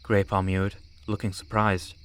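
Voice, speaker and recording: A man's voice: deep voice, monotone, a little slow, a bit bright. A man narrating an audiobook story from a script.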